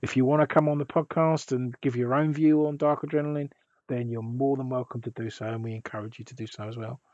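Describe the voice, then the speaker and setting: A man hosting a music podcast, hoarse and husky from a sore throat, rambling with restarts. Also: medium pitch, steady tone, fast, a little mellow, dry recording, lo-fi sound. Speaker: podcast host. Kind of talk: casual podcast chat.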